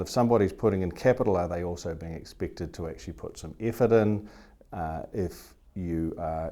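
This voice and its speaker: A man talks in a deep, soft-edged voice. He gives practical business advice in a plain, measured way, sometimes hesitating as he explains. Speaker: business law adviser. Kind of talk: informal explanatory advice talk.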